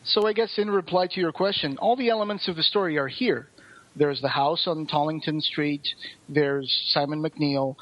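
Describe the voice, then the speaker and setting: A man's voice: on the high side, normal speed, highs cut off. A man co-hosting a podcast, talking through a review in a casual, rambling, explanatory way. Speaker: podcast co-host.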